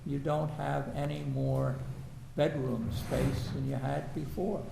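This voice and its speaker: Slow gravelly man, skeptical. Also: medium pitch, little pitch movement, background noise. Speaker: commission member. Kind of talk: pointed remarks at a meeting. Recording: echoey meeting room mic.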